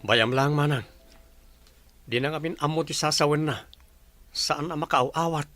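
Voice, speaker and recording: A man's voice: on the high side, moderate pace, a bit bright, no room sound, soft background music, lightly acted. An actor playing a stubborn young businessman in a radio drama, blunt and dismissive in manner. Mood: defensive.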